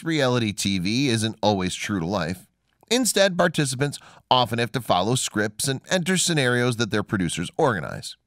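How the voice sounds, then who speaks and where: A man's voice: medium pitch, a bit bright, no echo, clean studio sound. A man reading scripted narration in the style of a documentary.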